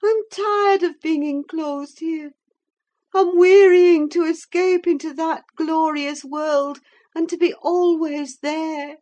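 Casting: woman voicing a dying young heroine, high, breathless and pleading, proud even in fever.

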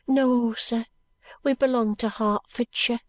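A woman voicing a poor, weary young working-class mother who speaks softly and plaintively in rustic grammar. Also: on the high side, fairly even intonation, takes it slowly, pitched above the performer's own voice. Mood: subdued.

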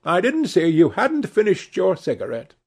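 A man's voice: sits high, huge pitch swings, moderate pace, darkish tone, breathy edge, pitched above the performer's own voice, full character voice. A man voicing a fussy old society hostess. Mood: gushing. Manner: theatrical, fussy, exclamatory. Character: older woman, a chatty society hostess.

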